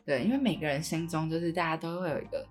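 A woman's voice: low-pitched, very fast, faint music behind. A young woman with a Taiwanese Mandarin accent chatting casually and informally as a podcast co-host.

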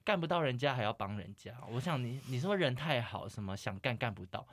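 A young man with a Taiwanese Mandarin accent chatting casually as a podcast host, telling stories in an animated way with English words mixed in. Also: medium pitch, brisk. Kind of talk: casual anecdote chat.

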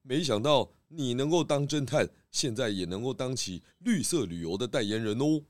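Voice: medium pitch, expressive, normal speed, no room sound, studio quality, natural voice. An actor playing a kindly, food-loving pig detective in a children's audio drama, exclamatory and encouraging. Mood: cheerful, admiring.

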